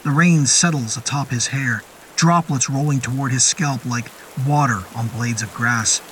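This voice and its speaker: A man narrating a scripted horror story in a deliberate, literary and descriptive manner. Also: medium pitch, a bit bright, a little hiss or hum, rough recording. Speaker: narrator of a horror fiction podcast. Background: music and rain sound effects.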